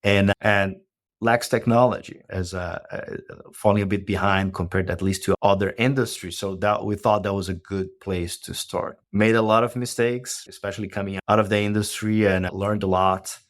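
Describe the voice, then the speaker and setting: Deep-voiced Brazilian-accented man, measured. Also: fairly even intonation. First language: Portuguese. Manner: measured, explanatory, often checks with "right?". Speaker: guest, company co-founder. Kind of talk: podcast interview.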